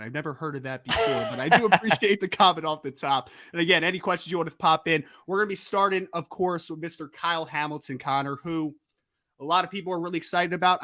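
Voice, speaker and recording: A man hosts a casual live podcast. He speaks in a lively, chatty way, with a fairly high and bright voice. His voice sounds dry and close, with no room echo around it. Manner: energetic and chatty, with quick restarts. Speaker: podcast host.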